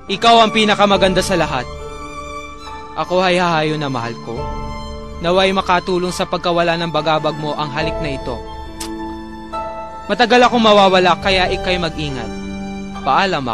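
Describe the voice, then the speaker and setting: A man's voice: high-pitched, expressive, normal speed, pauses often. A man playing a patient, doting sultan in a courtly, formal melodrama style. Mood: tender. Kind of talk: melodramatic radio-drama acting. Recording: music behind.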